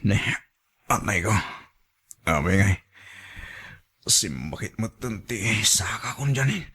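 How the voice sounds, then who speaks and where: A man's voice: on the low side, very animated, slow pace, fairly bright tone, husky, exaggerated voice. A man playing an evasive, placating married cheater in a radio drama, with an Ilocano accent. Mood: pained, complaining.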